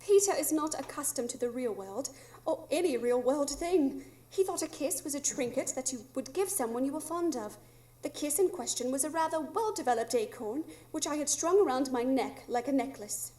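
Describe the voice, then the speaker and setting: A woman's voice: high-pitched, expressive, moderate pace, warm tone. A woman playing a prim, precise English lady, proper and understated, in a live radio drama. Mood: dry.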